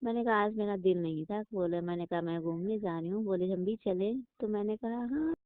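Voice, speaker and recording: A woman's voice: medium pitch, a little fast, no echo. Flirty woman on a phone line. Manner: teasing, coy. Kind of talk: flirtatious casual phone chat. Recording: muffled narrowband phone call recording.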